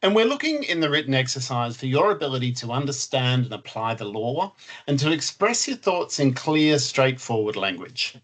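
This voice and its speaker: A man's voice: medium pitch, big pitch swings, moderate pace, fairly bright tone. A man, a lawyer, explaining a process plainly and in order during a webinar. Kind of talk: presenting in a webinar.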